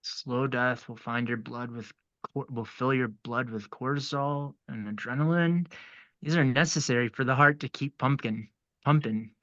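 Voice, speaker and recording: A polite but sinister ritual killer from a horror script played by a man. His mid-pitched voice is measured and formal, calmly threatening, on a dry, slightly muffled lo-fi recording. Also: no room sound, lightly acted. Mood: coldly menacing. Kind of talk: horror script table read.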